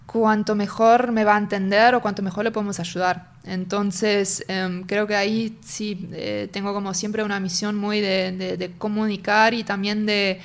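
Young woman talking in an interview, in her spanish, explains things slowly, keeps hunting for the right word. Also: mid-range voice, flat delivery. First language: German. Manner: explanatory, searches for words as she goes. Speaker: marketing and sales lead, interview guest. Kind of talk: casual interview conversation.

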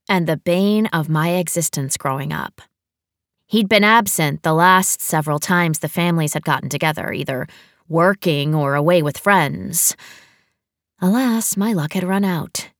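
A close, dry studio-like sound carries an American woman's voice, set a little lower than most. She narrates a romantic comedy novel in the first person, as a scripted audiobook read. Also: no room sound.

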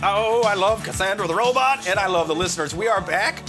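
A hoarse, raspy man hosting a podcast after a cold, riffing profanely in casual chat from a basement. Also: sits high, fairly quick. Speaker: podcast host. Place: basement studio. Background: clear music bed.

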